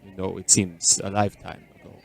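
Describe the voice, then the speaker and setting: A mysterious, melancholy gentleman in a radio drama. His voice is on the low side, with formal, measured and polite phrasing that keeps him guarded. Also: flat delivery, gravelly. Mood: sorrowful. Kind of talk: audio drama dialogue.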